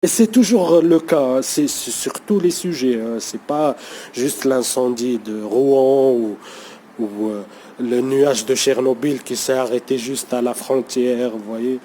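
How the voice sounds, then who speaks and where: A man's voice: medium pitch, measured pace, warm tone, a little hiss or hum. A man talking off the cuff to his viewers in a language that is not his first, hesitant and full of fillers, often checking in with "you see". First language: not French. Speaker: vlogger. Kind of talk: unscripted talk to camera.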